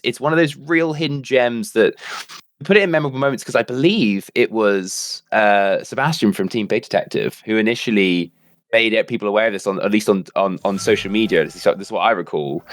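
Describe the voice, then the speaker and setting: A man's voice: medium pitch, fairly quick, dry recording, studio quality. A man co-hosting a livestream, chatting enthusiastically over gameplay with lots of fillers and restarts. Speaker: livestream co-host. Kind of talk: casual chat, commentary over gameplay.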